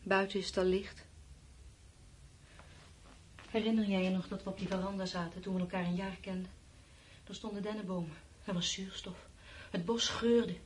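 A worn-out, fearful woman in a radio play, acted by a woman. Her voice is husky and sits at a middle pitch. She sounds brooding and embittered as she clings on in a collapsing world. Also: lightly acted. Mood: wistful, bitter. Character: weary, fearful armed woman surviving a world war's collapse.

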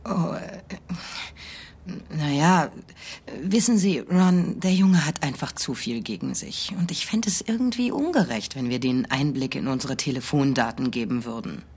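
An actress playing a frank, slightly uneasy radio talk host in a radio drama. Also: female voice, low-pitched, unhurried, a little mellow, husky. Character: wary, frank female radio talk host facing her boss.

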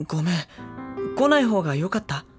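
A woman playing a gentle, reserved high school boy in an anime audio drama, soft-spoken, hesitant and polite, with anguish held inside. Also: big pitch swings, brisk. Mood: hesitant, apologetic. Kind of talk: anime audio drama acting with inner monologue. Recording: studio drama with music underneath.

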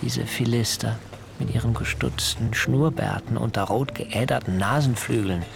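A man's voice: mid-range voice, fairly even intonation, normal speed, gravelly, background noise, lightly acted. An actor playing a disdainful, embittered man brooding in a literary inner monologue for a radio play, with scornful emphasis. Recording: loud music under the voice.

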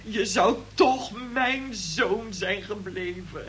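A woman's voice: mid-range voice, slow pace, fairly bright tone, slight background noise. An actress playing a pious, martyred middle-aged mother of a grown son in a Dutch radio play, solemn and full of reproach. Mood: disappointed, reproachful. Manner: melodramatic, solemn, guilt-laying.

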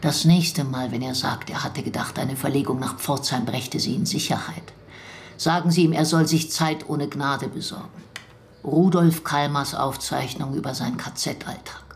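An actress playing a stern, bitter postwar investigator in a German radio drama, speaking in a controlled, cutting and deliberate way. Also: female voice, rumbling low, fairly even intonation, slight background noise.

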